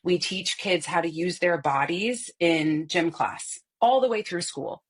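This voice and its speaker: A woman's voice: on the low side, a little slow, husky. A woman voice coach speaking expressively as a podcast guest, often laughing and acting out short vocal examples. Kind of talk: animated podcast interview.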